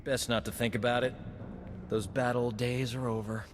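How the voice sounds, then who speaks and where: An actor playing a cocky, flirtatious rogue doctor in a video game, with a breezy, self-assured manner. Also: male voice, medium pitch, flat delivery, normal speed, heavy background noise, close to an everyday voice. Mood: breezy, flirty. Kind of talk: video game cutscene acting. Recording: game music behind the voice.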